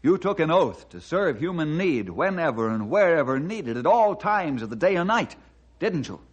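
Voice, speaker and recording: An actor playing an earnest, forceful ranger in old-time radio drama style, insistent and declamatory. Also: male voice, a little high, very animated, normal speed. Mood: impassioned, pleading. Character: earnest forceful male ranger, urging and commanding.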